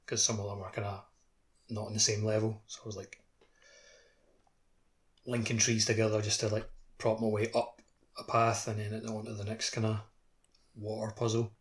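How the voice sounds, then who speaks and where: A man co-hosts a gaming podcast in a relaxed conversational style. His voice is a little low and stays very even in pitch. He talks informally, telling small anecdotes. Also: normal speed, long pauses. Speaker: podcast co-host. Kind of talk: casual gaming chat.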